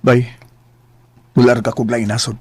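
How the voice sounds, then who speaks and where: A man's voice: medium pitch, flat delivery, a little slow, a little mellow, slightly rough, no room sound. A man playing a young, earnest son and boyfriend in an amateur radio drama, often apologizing. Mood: resigned.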